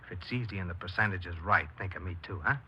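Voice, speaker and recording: An actor playing a vain, breezy small-time burglar in a 1950s radio crime drama, with slangy, sly, casual talk. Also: male voice, sits low, fast talker, lightly acted. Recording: muffled 1950s radio broadcast, faint noise.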